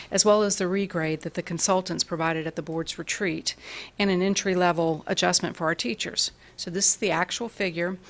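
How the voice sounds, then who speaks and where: Woman, lowish voice, businesslike, reads budget numbers at a board meeting. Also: fairly bright tone. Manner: businesslike, reads figures, corrects herself. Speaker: school finance officer. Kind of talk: budget presentation at a public meeting. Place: school board meeting room.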